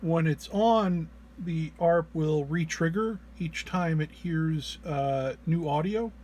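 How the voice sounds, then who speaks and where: A man who sounds under the weather gives an informal tutorial walk-through in a low-energy, hesitant, halting way. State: under the weather, often clearing throat. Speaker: presenter of a music effects patch walk-through.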